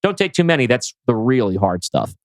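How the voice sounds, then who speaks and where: A man's voice: mid-range voice, big pitch swings, a little fast, dry recording, studio quality. A man reading the part of a breezy, slangy buddy in a science-fiction script, with a flippant manner. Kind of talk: script table read.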